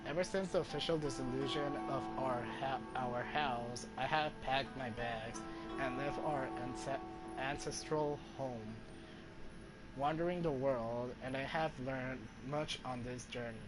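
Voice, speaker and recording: A man's voice: mid-range voice, rough recording. Male game streamer reading over music. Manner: halting reading with stumbles and self-corrections. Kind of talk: live stream, reading game text aloud.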